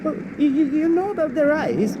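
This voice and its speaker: An actor playing a nervous, superstitious young country corporal in a radio drama, hesitant and stammering. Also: male voice, very high voice, sing-song, moderate pace, lo-fi sound, noisy background. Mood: frightened.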